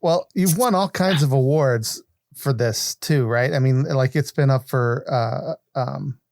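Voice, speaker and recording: A man hosting a podcast, putting questions to a guest in casual, halting, enthusiastic chat. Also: medium pitch, vocal fry, darkish tone, dry recording, studio quality. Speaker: podcast host interviewing a guest.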